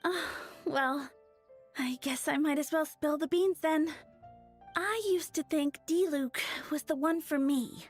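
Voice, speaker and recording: A woman's voice: sits high, a little slow. A woman voicing a lovestruck, easily flustered young flower-shop girl in a video game, dreamy and hesitant. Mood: confessing, wistful. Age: young adult. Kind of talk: video game character voice acting. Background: game music.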